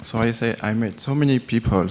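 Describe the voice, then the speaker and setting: A man from France in his thirties, with a French accent, asking a question haltingly in a temple hall. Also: medium pitch, a little mellow, slight background noise. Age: 30s. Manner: hesitant, halting, many fillers and restarts. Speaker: audience questioner.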